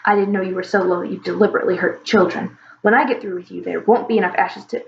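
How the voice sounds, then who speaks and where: A woman voicing a huge, fierce father dragon who growls his lines in a lowered voice. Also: steady tone, fast talker, creaky, slight background noise, natural voice. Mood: menacing. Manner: growling, menacing. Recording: roomy, slightly dull recording.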